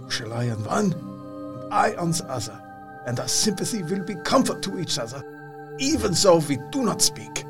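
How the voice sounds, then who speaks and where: Solemn older doctor, compassionate, commanding, gravelly, deliberate, swooping intonation, music behind. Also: male voice, a little high, sing-song. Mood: tender, coaxing. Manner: solemn, deliberate, slightly unidiomatic phrasing. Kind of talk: audio drama acting.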